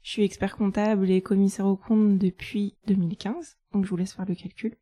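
A woman in her thirties from France, a guest on a podcast, talking through her life in a relaxed, chatty way with fillers. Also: mid-range voice, a little fast, no room sound. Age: 30s. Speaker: guest, chartered accountant. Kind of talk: casual interview.

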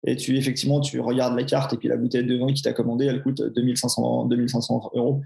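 Flat-voiced Swiss French man, echoey room. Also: mid-range voice, fast, gravelly. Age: 40s. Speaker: personal trainer, podcast guest. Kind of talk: casual interview chat.

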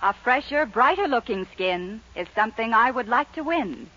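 A woman's voice: fairly high pitch, animated, normal speed, bright, forceful tone. A woman performing a rhyming jingle in a 1940s radio commercial. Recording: dull 1940s radio recording.

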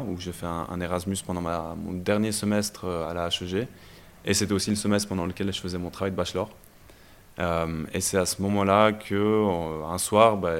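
A young man from Belgium, a startup founder telling his story in a casual interview, relaxed and hesitant with many fillers. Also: deep voice. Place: startup incubator office.

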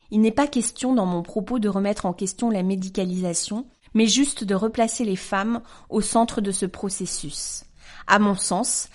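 A woman in her forties hosting a podcast, reading a letter aloud in a calm, measured, earnest way. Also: mid-range voice. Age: 40s. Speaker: podcast host.

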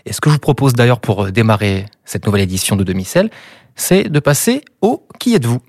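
A man's voice: mid-range voice, big pitch swings, quick pace, a little mellow, no echo, clean studio sound. A man from Brittany hosting a conversation with guests, upbeat, with playful game-show asides. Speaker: podcast host. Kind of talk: hosted conversation.

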